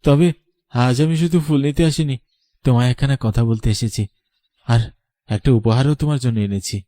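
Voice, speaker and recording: Landlord's son, played by a man: youthful lover, medium pitch, emotional cartoon melodrama, close dry sound. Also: normal speed, mellow, no room sound, lightly acted. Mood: tender. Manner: earnest, dramatic, emotional. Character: earnest lovestruck young landlord's son.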